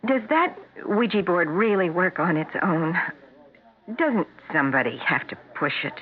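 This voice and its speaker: An actress playing an anxious, frightened wife in a 1970s radio mystery. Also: female voice, fairly low pitch, big pitch swings, a little slow. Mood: uneasy, confiding. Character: Helen, anxious, frightened wife fearing for her husband. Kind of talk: 1970s radio drama acting. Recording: tinny narrowband vintage radio recording.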